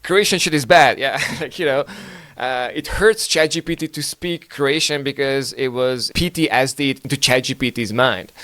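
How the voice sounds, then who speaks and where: A man's voice: mid-range voice, normal speed, sharp tone, no room sound. A man with Hungarian as his first language hosting a podcast, rambling through news in a casual, profane, joking way with lots of fillers. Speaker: podcast host.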